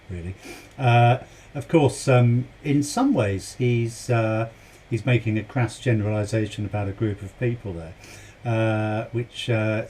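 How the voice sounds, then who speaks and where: A man's voice: mid-range voice, darkish tone, a little hiss or hum. A British man hosting a reaction video, speaking casually and hesitantly with many fillers. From Britain. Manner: hesitant, lots of uh and um.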